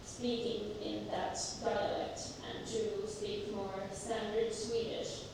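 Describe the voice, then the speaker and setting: A woman with a Finnish accent, a native Finnish speaker, moderating a seminar and talking slowly and hesitantly with many fillers. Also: mid-range voice, flat delivery, gravelly. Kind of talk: personal talk at a seminar. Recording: echoey hall, mic far off, noisy.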